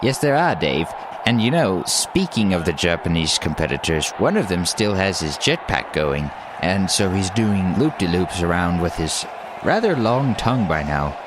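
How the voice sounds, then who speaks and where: A man's voice: low voice, sing-song, normal speed, noisy background. A man playing a lead sports announcer in a comedy radio broadcast. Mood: showy. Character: male lead sports announcer at absurd Olympics. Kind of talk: parody sports commentary.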